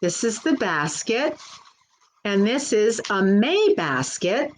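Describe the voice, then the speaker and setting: A woman's voice: medium pitch, big pitch swings, slow, slight background noise. A woman working as a children's librarian, hosting a live storytime in a simple, encouraging way and speaking directly to young children.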